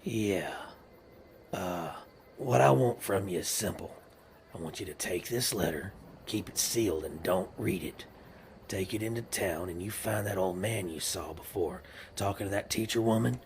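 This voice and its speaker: An actor playing a scheming, menacing western gang boss with a gravelly voice and a rural American drawl, commanding and slyly coaxing, in an audio drama. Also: male voice, low-pitched, normal speed, a little hiss or hum. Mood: sly, threatening.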